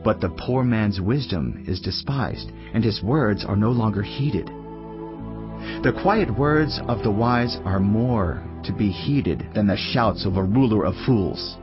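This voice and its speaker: A man playing a weary, reflective teacher of wisdom in a dramatised audio Bible, husky-voiced, with a measured, earnest, declamatory delivery. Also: lowish voice, animated, muffled recording. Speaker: scripture narrator. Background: music.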